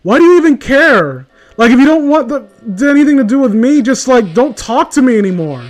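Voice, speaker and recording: A man's voice: very high-pitched, sing-song, fairly quick. A man chatting casually in a blunt, opinionated way with plenty of swearing. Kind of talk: casual chat.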